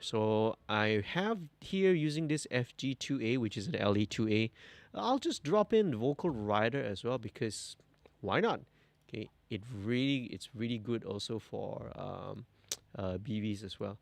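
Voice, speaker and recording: A young man, talking through a mix on a studio livestream, relaxed and thinking aloud as he works. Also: on the low side, unhurried, creaky, no room sound. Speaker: mixing engineer host. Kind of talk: livestream mixing tutorial. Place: indoor music studio.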